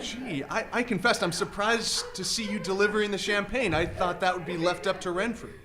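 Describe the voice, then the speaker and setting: An actor playing an easygoing, reassuring vacationing husband in a comic radio play, mild and a little hesitant. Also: male voice, high voice, fairly quick, close to an everyday voice. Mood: affable. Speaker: actor in live radio drama. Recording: noisy live theater stage recording.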